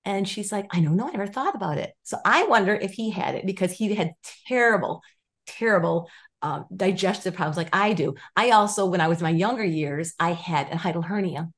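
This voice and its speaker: A woman in her fifties, a guest on a video call, telling her story with lively, restarting sentences and acted-out quotes, gesturing as she talks. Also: medium pitch, a little fast. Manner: animated storytelling with many restarts and quoted voices. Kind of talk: casual interview chat. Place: home room with stone wall, indoors.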